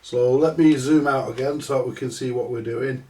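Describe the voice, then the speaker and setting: A man's voice: medium pitch, fairly even intonation, warm tone, reverberant, slight background noise. A middle-aged man with a stuffy cold, talking through a repair in a small workshop, rambling and swearing casually. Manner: slow, rambling, sweary, self-mocking. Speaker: repair channel host. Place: small cluttered electronics workshop, indoors. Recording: distant from the mic.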